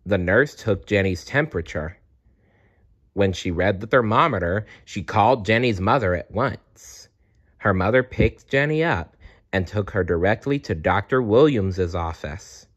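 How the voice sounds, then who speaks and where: A man reading a children's storybook aloud as a storyteller, deliberate and often clearing his throat. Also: fairly low pitch, lively intonation, highs cut off.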